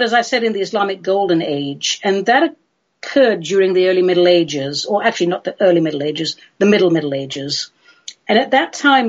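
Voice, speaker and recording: A woman's voice: on the low side, lo-fi sound. A woman from London with a London English accent hosting a chatty solo radio show in a playful, theatrical, droll manner. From London, England.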